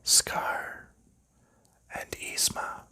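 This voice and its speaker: A man whispering softly through a calm, slow ASMR unboxing of a board game, explaining each piece as he goes. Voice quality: soft unvoiced whisper.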